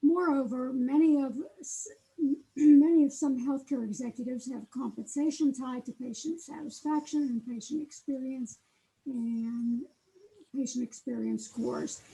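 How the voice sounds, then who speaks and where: A soft-spoken woman giving a conference talk on a computer microphone, with frequent fillers. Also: high voice, vocal fry, low-quality recording. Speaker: guest speaker. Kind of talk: conference presentation. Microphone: auxiliary computer mic.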